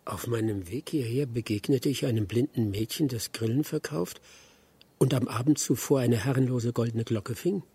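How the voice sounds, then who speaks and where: An actor playing a dutiful, cautious aide in a radio drama, with a breathy, husky voice and careful, halting delivery. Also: male voice, medium pitch, mellow, no room sound. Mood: earnest.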